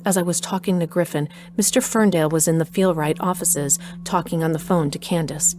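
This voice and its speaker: A woman acts a determined mother and doctor telling her story in a scripted audio drama. Her voice sits slightly low, stealthy and resolute, with faint music behind it. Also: steady tone, brisk, a little mellow, natural voice. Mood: measured suspense. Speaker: narrator and lead actor.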